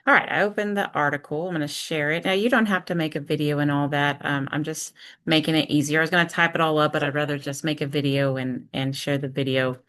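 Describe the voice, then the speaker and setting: A woman's voice: deep voice, fairly even intonation, fast talker, fairly bright tone. A woman teacher giving an informal screen-share walkthrough, thinking aloud step by step in a conversational way. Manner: conversational, explains step by step with self-questions and check-ins.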